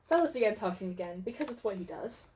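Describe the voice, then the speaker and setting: Female narrator, lively pitch swings, theatrical tongue-in-cheek delivery of comedic fantasy fan fiction. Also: fast talker, slight background noise. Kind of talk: dramatic reading of comic fan fiction.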